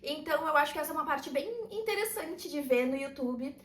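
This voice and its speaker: A woman, calmly talking to camera in a casual vlog and laughing lightly now and then. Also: high-pitched, brisk, reverberant. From southern Brazil. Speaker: vlogger and routine mentor.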